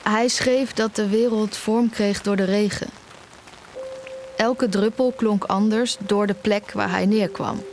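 A woman's voice: mid-range voice, steady tone, takes it slowly. Young woman, nearly blind student, wounded yet stubborn; intimate radio-drama delivery, music and rain underneath. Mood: pensive. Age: young adult. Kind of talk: audio drama acting, intimate dialogue and narration.

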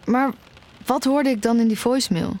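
A woman's voice: a little high, moderate pace, darkish tone, natural voice. A young woman acting in an audio drama as a nearly blind student, wounded and stubborn, in intimate dialogue and narration. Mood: hurt, confused. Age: young adult.

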